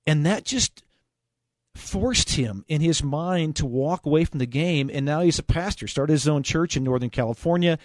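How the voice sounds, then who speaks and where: A man's voice: fairly high pitch, moderate pace, no room sound. A man with an American accent hosting a conversational sports call-in show on the radio.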